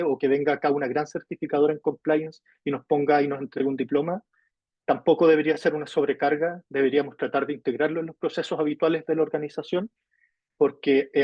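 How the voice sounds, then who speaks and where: A Chilean man presents a webinar in a somewhat high, husky voice. He explains emphatically, often asking questions and answering them himself. The audio is muffled and lo-fi. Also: dry recording.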